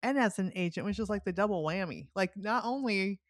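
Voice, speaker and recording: A woman's voice: medium pitch, expressive, brisk, no room sound, studio quality. A middle-aged woman hosting a podcast in a casual, enthusiastic and encouraging way. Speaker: podcast host. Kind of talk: casual interview chat.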